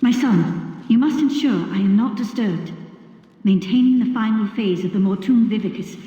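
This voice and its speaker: A woman's voice: medium pitch, normal speed, mellow, natural voice. A woman playing a commanding evil sorceress in a video game, speaking in an imperious, formal way. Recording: dull, very echoey hall sound.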